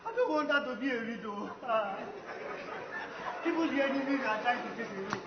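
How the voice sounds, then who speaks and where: A man's voice: very high-pitched, very animated, brisk, crowd noise, full character voice. A man playing a timid, weak volunteer warrior in a stage comedy, whining and deferring to his superior. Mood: pleading. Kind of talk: comic stage play acting. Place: stage in a large hall with audience. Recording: echoey hall, muffled, loud audience noise.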